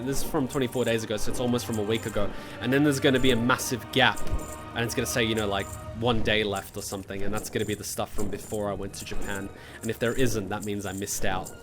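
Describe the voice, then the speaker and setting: Rambling self-mocking man. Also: fairly low pitch. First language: Serbian. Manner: rambling, self-deprecating, swears casually. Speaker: gaming guide creator. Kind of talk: casual gameplay commentary. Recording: music playing behind voice.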